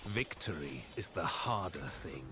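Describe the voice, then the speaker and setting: An actor playing a seasoned Spartan commander in a video game, dry and terse, over noisy ambience. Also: male voice, a bass voice, animated, unhurried. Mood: dry, wry. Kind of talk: game voice acting.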